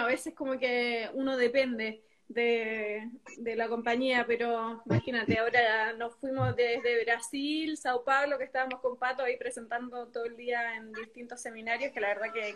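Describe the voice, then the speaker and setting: Female interview guest, Chilean accent, relaxed informal talk, fairly high pitch, speaking into a tablet. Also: moderate pace. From southern Chile. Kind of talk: casual chat. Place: at home on a video call. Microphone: tablet on a video call.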